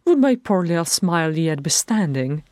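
A woman's voice: lowish voice, big pitch swings, moderate pace, a little mellow, no echo, studio quality. A woman voicing a fussy, scolding middle-aged male hobbit in a fan audiobook reading, chiding and exasperated.

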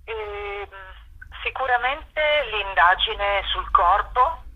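A woman's voice: mid-range voice, very slow, slightly rough. A woman giving reflective, hesitant interview answers over the telephone, repeating phrases for emphasis. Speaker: theatre director, interview guest. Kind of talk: unscripted radio interview answers. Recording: dull, lo-fi telephone call to radio.

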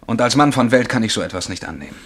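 Excitable, earnest young poet, swooping pitch. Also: male voice, racing. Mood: flattered, self-important. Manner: earnest, excitable, polite, self-important. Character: eager, naive, vain young male would-be poet. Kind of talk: radio play acting.

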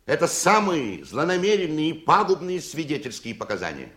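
An actor playing an indignant defense attorney in a radio play, speaking in an emphatic, oratorical way. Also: male voice, a little high, huge pitch swings, moderate pace, fairly bright tone, exaggerated voice. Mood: outraged, protesting. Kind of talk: Soviet radio play acting.

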